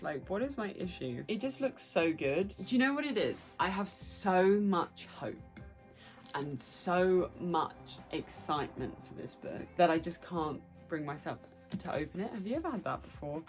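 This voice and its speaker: A young British woman chatting casually and excitably to her viewers as a book vlogger. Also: fairly low pitch. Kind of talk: casual chatty vlog to camera.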